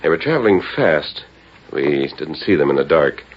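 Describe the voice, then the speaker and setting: An actor playing a noble, authoritative masked cowboy hero in a 1940s radio western, speaking crisply and earnestly. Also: male voice, extremely low pitch, sing-song, normal speed. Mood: grave, confident. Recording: muffled, hissy 1940s radio recording.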